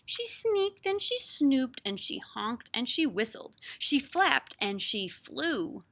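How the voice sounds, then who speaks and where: A woman with a bright, fairly high voice leads a story time for young children. She speaks in an animated, sing-song way with dramatic emphasis. Her manner is encouraging, like a children's librarian reading aloud. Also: slow pace. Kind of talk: expressive read-aloud and chat for young children.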